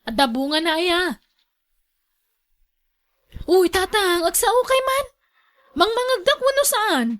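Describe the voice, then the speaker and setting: A woman's voice: very high-pitched, lively intonation, slow, long pauses, a bit bright, heavily acted. A woman with an Ilocano accent playing a concerned younger relative in a radio drama, calling out and urging.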